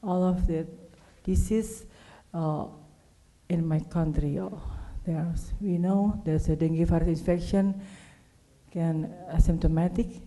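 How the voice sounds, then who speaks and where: A woman with an Indonesian accent presents at a medical conference. Her voice is very soft-edged and dark, pitched a little low. She speaks halting English, piecing phrases together as a non-native speaker. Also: steady tone, poor audio. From Indonesia, Java. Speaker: clinical pathologist, conference speaker.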